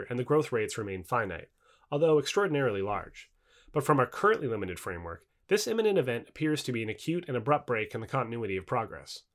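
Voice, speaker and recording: A man reading scripted nonfiction aloud as an audiobook narrator, in a measured, articulate way with emphatic phrasing. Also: mid-range voice.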